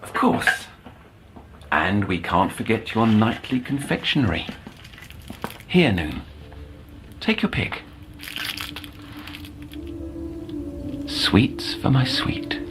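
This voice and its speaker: An actor playing an earnest, brooding middle-aged male doctor in an audio drama, speaking formally and haltingly in erudite language. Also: fairly low pitch, sing-song, noisy background. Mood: soothing. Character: earnest, scholarly, brooding male doctor haunted by past failures.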